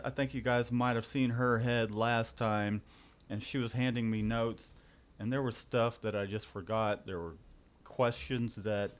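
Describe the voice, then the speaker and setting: A man hosting a casual live stream about his print shop, rambling good-humoredly with many restarts. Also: medium pitch. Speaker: host and screen printer.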